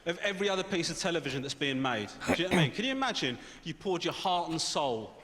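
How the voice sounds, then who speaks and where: A man with a British accent doing a stand-up comedy set on a theatre stage, telling stories in a dry, deadpan way. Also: a little high, fast. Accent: British English. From Sri Lankan heritage. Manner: dry, deadpan storytelling with lively swings. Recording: roomy theatre with loud audience laughter. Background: audience laughing.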